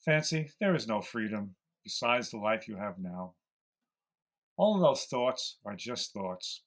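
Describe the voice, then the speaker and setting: A man playing an aging, weary, gentle mouse who speaks as a calm, fatherly mentor in an audio drama. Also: lowish voice, unhurried. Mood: weary, reflective.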